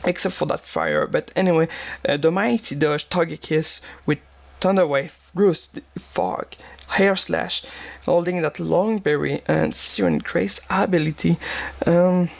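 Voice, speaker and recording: A young man from Quebec with a Quebec French accent, a native French speaker, explaining a game team with many pauses, fillers and restarts. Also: a little high, gravelly. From Quebec, Canada. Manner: halting, many fillers and restarts, searching for words. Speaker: gaming channel host. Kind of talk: game teambuilding commentary.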